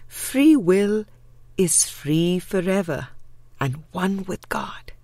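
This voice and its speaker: A woman reading a spiritual audiobook with measured, deliberate phrasing. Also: low-pitched, darkish tone, no echo. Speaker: audiobook reader.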